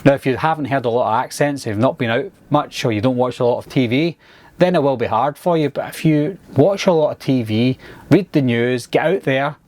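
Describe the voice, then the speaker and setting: A man's voice: medium pitch, brisk, a little mellow, no room sound. A man with a Glaswegian accent, talking casually and earnestly to his viewers, with some hesitations and restarts. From Glasgow, Scotland. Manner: earnest, patient, lots of fillers and restarts. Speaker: YouTuber. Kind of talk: casual talk to camera.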